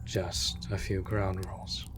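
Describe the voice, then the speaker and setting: Man acting a hushed, slow, low-voiced monster hunter. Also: little pitch movement, background noise. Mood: firm. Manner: hushed, breathy, measured, reassuring. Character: gruff, protective male monster hunter, stoic but gentle. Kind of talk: slow, intimate comfort roleplay audio drama. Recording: loud rain and cave ambience. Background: rain in a cave.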